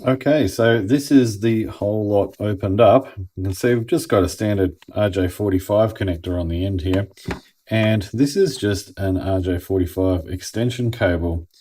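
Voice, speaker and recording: A man's voice: lowish voice, normal speed, dark tone. An Australian man giving a casual hands-on product review in a laid-back, plain-spoken way, as if thinking aloud. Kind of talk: casual unboxing and review commentary.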